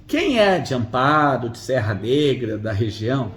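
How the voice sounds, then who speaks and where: A man's voice: medium pitch, sing-song, a little slow, fairly bright tone, soft background music. A man, interviewing casually in an effusive, coaxing manner. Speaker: interviewer, show host.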